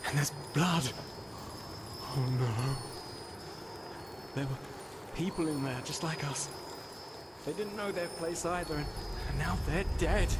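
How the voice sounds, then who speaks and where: High-pitched, frightened male prisoner. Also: noisy background. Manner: hesitant, stammering, fearful. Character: frightened, despairing male prisoner, meek and fatalistic. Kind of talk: video game voice acting.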